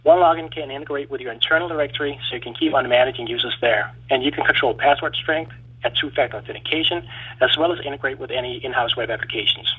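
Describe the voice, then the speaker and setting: Man from Denmark, founder calling in to pitch; quick, husky, heard through a tinny phone line. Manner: steady, rapid, read-through delivery. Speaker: startup founder pitching as a caller. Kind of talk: rehearsed sales pitch. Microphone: phone call.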